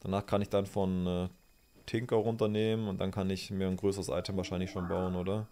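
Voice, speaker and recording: Young man, casual stream commentary, slightly low voice, faint background hiss. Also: flat delivery, fairly quick, dark tone. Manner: chatty, thinks aloud, thanks viewers. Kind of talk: casual game commentary to chat. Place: small indoor room.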